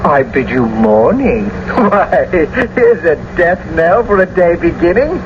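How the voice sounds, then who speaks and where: An actor playing a witty, mocking young gallant in a radio drama, flamboyant and teasing. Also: male voice, fairly high pitch, lively intonation, slow. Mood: mocking, merry. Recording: noisy, muffled old radio broadcast recording.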